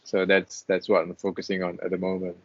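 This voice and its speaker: Young male guest from Malaysia, low register, relaxed and halting, on a muffled video call. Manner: relaxed, hesitant with many restarts. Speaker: guest, freelance web developer. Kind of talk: casual interview chat. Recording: dull lo-fi call audio.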